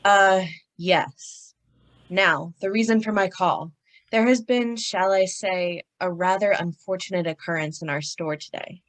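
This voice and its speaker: A woman puts on a pompous gentleman executive for a radio mystery. The voice sits lowish, with stiff, formal phrasing and prim, careful precision. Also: unhurried, lightly acted. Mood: formal, officious. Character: prim, pompous male department-store executive. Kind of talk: old-time radio acting.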